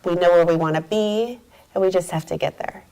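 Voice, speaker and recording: A woman's voice: fairly low pitch, fairly even intonation, fairly quick, mellow, smooth, low-quality recording. A middle-aged woman speaking as a guest in a casual interview, upbeat and chatty, laughing easily. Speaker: guest, company executive.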